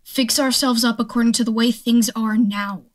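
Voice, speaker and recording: A woman's voice: on the high side, steady tone, moderate pace, lightly acted. A woman playing a defiant, survival-minded militia stranger in a radio play, talking in a blunt, folksy, speechifying way. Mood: grim, explaining. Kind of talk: radio drama acting.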